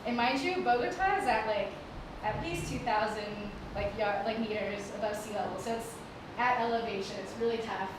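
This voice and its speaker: A woman's voice: medium pitch, moderate pace. Chatty woman speaking in an echoing hall. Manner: chatty, many "like" fillers. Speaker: guest presenter. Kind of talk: casual live talk to an audience. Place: stage in a performing arts hall. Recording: echoey hall from far off, noisy. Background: audible background noise.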